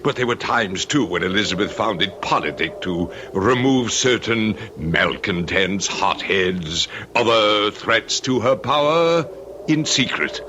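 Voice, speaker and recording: An actor playing a grave, courtly lord of a cursed manor in old radio drama style, speaking with portentous deliberation. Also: male voice, lowish voice, animated, a little slow, noisy background. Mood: grave, ominous.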